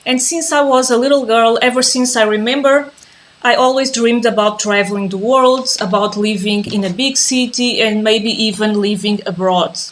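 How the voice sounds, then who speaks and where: Calm woman teaching a live class. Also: on the high side, moderate pace, slight background noise. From Azores, Portugal. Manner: calm, patient, repeats herself while waiting for viewers. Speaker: yoga and mindfulness teacher. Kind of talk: live-streamed class introduction.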